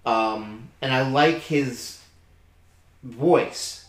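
A man's voice: medium pitch, very slow. A man co-hosting a podcast, chatting casually and animatedly about songs, laughing easily. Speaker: podcast co-host. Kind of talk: casual music review chat. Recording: echoey room.

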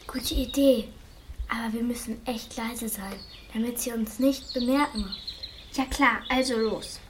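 A female amateur plays an eager girl detective in a radio play. Her voice is very high and plainly practical, over slight recording noise. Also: measured pace, close to an everyday voice. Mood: determined. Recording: noisy amateur recording with clicks.

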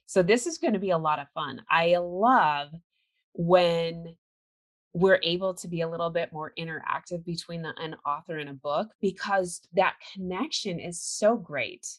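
A woman from California with an American accent, hosting a casual interview, chatty and enthusiastic and quick to laugh. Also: on the low side. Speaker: host.

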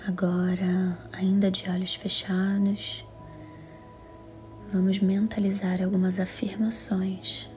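A Brazilian Portuguese woman leads a guided meditation in a calm, soothing, measured way, her voice soft, breathy and half-whispered. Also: medium pitch. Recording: music under the voice. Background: music.

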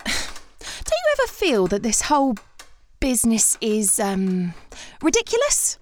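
A woman's voice: fairly high pitch, wildly swooping pitch, takes it slowly, heavily acted. An actress playing a sceptical, outspoken woman in a comic audio drama, musing aloud and blurting out sardonic doubts. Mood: incredulous. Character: sceptical, outspoken woman aboard Noah's Ark, questioning everything.

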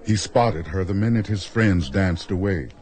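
A man's voice: sits low, normal speed, darkish tone, natural voice. A man narrating a radio drama in the third person, in a literary, descriptive manner. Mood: evocative. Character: smooth third-person male storyteller.

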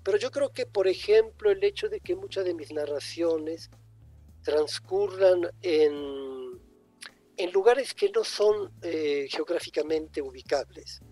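An older man raised in Italy with Italian as his first language, a writer reflecting slowly in an interview, often pausing and restarting. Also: sits high, mellow, no echo, soft background music. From born in Egypt, raised in Italy. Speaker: writer guest. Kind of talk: thoughtful interview answers.

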